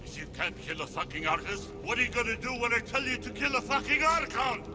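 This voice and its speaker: A man acting a brutal, foul-mouthed cult boss in a video game, shouting and swearing with menace. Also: very high-pitched, fast. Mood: menacing, contemptuous.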